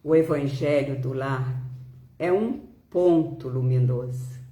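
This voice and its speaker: A Brazilian woman with a Brazilian Portuguese accent speaks as a spiritist devotional speaker, reading solemnly and deliberately with frequent pauses. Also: low voice, dark tone, roomy sound.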